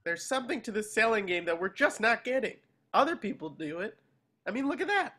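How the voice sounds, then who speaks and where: A man's voice: sits high, sing-song, a little fast, pushed and bright, exaggerated voice. A man voicing an earnest, eager, boyish cartoon sea sponge in a table read, coaxing as he talks.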